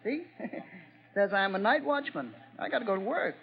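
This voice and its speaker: A man's voice: sits high, lively intonation, moderate pace, vocal fry. An actor playing an odd, simple-minded night watchman with a stammering, repetitive, giggly delivery in an old radio drama. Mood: meek, creepy. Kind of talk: 1940s radio drama acting. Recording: muffled, noisy old radio recording.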